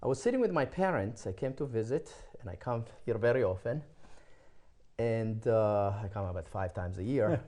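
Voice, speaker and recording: An older man from Israel with an Israeli accent, telling his personal story conversationally as an interview guest, often halting and repeating words as he searches for phrasing. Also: on the low side, expressive, warm tone.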